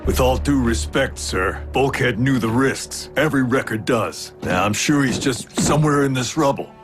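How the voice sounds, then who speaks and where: A man voicing a cocky, roguish, battle-hardened robot warrior in an animated series, with a wry, laconic tough-guy drawl. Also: mid-range voice, normal speed, over music, close to an everyday voice. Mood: dry, steady.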